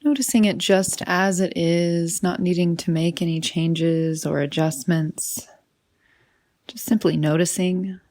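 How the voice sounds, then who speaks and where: Woman, meditation guide, slightly low voice, very slow pace, soothing, softly breathy phrase endings. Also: steady tone. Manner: soothing, unhurried, often trailing into breathy softness.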